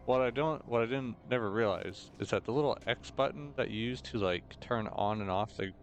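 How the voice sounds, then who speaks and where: A young man with a slightly hoarse, rough throat, chatting casually and rambling through gameplay commentary with many fillers and self-corrections. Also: medium pitch, fairly quick, slight background noise. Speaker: gaming video host. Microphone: desk mic with noise gate.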